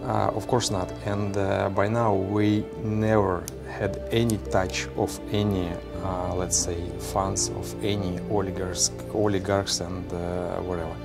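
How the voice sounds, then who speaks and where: A man in his forties, a company representative answering interview questions in a measured way with many pauses. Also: deep voice, little pitch movement, creaky, loud music behind the voice.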